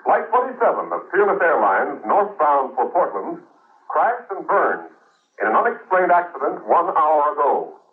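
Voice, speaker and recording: Echoey radio newscaster. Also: male voice, on the high side, big pitch swings, normal speed. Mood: grave, urgent. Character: urgent radio newscaster reading a flash bulletin. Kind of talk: 1940s-50s radio news bulletin acting. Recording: echoey, narrow-band radio with faint noise.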